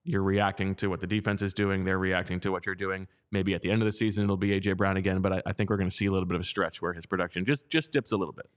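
A man's voice: deep voice, fairly even intonation, very fast, hardly any pauses, clean tone. A man co-hosting a sports podcast, talking conversationally with plenty of fillers and pushing back on his co-host. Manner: conversational, lots of fillers, sharp pushback. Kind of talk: casual sports chat.

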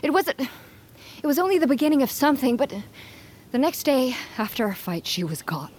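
A woman's voice: high voice, big pitch swings, normal speed, darkish tone, a little hiss or hum. A proud, wounded young warrior woman. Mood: defensive, grieving. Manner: intense, formal archaic phrasing. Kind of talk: dramatic fantasy audio drama acting.

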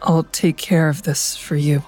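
A woman's voice: deep voice, level tone, unhurried, a little mellow, soft background music. An actress playing a guilt-laden, wary woman in danger in an audio drama, speaking in an earnest, confiding way. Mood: earnest, anxious. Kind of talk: full-cast audio drama acting and inner narration.

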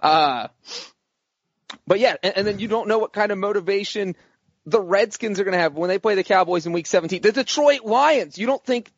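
Hoarse, high-voiced man talking sports. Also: fairly quick, dry recording, dull audio. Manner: animated, rambling. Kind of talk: casual sports chat.

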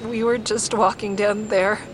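A woman's voice: medium pitch, little pitch movement, a little slow, lightly acted, low-quality recording. An actress playing a distraught, emotionally fragile mother pleading for help in an audio drama. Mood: anxious distress. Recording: clear outdoor traffic ambience.

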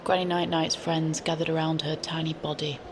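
An actress playing a solemn storyteller, narrating an audio drama in a measured, poetic way. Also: female voice, sits low, flat delivery, noisy background, natural voice. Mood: grave. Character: grave, poetic storyteller narrating a dark adventure. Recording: loud music behind the narration.

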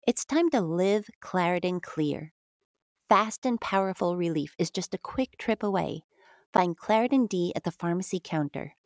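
A woman with a slightly low voice reads a scripted sponsor message in the first person. The sound is close and dry, with no room echo. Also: darkish tone. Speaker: podcast sponsor announcer.

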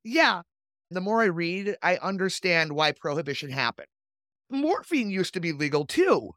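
The animated woman one would hear hosting a loose history podcast from a close, dry little studio, her pitch rising and dipping. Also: moderate pace, no echo. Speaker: podcast host. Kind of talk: casual, digressive history chat.

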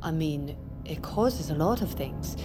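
An actress playing a calm, open-minded expedition doctor in an audio drama, reasoned and persuasive. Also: female voice, deep voice, unhurried, over music. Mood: measured, persuasive.

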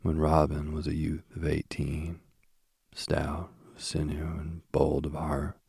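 A man hosting a sleep podcast and reading a bedtime story softly, slowly and soothingly, while he is losing his voice. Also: a bass voice, monotone, creaky, husky, no room sound, studio quality. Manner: slow, gentle and soothing. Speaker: sleep podcast host and reader. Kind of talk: soft bedtime story narration. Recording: faint music behind.

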